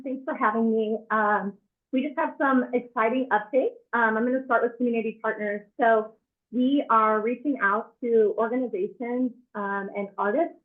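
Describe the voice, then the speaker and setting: A hoarse woman, an events director, giving upbeat updates on a community video call. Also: on the high side. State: hoarse, losing her voice. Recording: tinny phone-like call audio.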